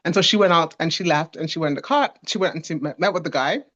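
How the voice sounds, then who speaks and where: A woman in her forties from Canada, a guest telling a story in a casual chat, giggly and animated, often restarting her words. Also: low voice, fast talker, muffled recording. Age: 40s.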